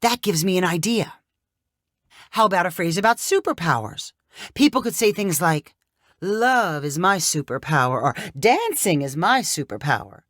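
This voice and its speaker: A woman's voice: on the low side, lively intonation, moderate pace, a bit bright, no echo, studio quality. A woman voicing a chatty, self-promoting would-be influencer in a breezy, self-assured story-reading voice.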